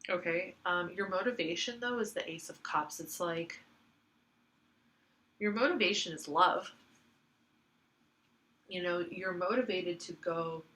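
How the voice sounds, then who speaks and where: A woman's voice: lowish voice, unhurried, long pauses, pushed and bright, low-quality recording. A woman giving a casual tarot reading, congested from allergies, rambling with lots of fillers and repeating herself. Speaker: tarot reader.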